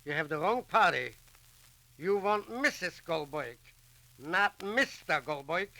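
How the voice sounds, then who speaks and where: Middle-aged husband, self-important, hesitant and slow, vintage radio serial sound. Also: male voice, on the high side, lively intonation, creaky, pushed and bright, full character voice. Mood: grudging, dry. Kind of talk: 1940s radio acting. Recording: crackly 1940s radio recording.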